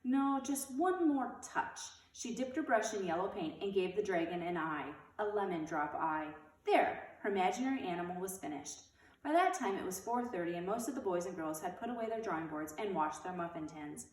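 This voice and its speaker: A woman reading a children's storybook aloud in an expressive narrating style. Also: lowish voice, brisk. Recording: roomy echo, distant mic.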